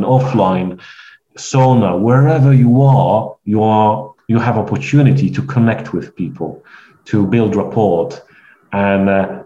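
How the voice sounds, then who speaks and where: A man with a Polish accent, a guest in an interview, telling stories in a halting way with many restarts. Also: medium pitch, dark tone, a little hiss or hum. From Poland. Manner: rambling, halting storytelling with frequent restarts. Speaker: guest, networking coach. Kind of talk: conversational podcast interview. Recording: echoey, dull lo-fi sound.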